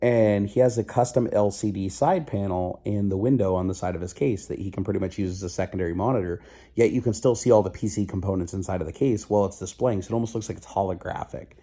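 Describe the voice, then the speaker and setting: A middle-aged man vlogging casually, his voice still slightly rough and stuffy from a cold, rambling and joking at himself. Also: low voice. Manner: rambling, self-mocking, loud. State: stuffy nose. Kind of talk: casual video diary.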